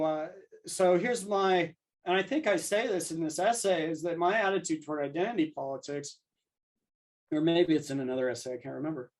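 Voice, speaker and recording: A man speaking as a podcast guest, thinking aloud in a conversational, discursive way and voicing imagined exchanges to make his arguments. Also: on the high side. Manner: discursive, argues through imagined dialogue.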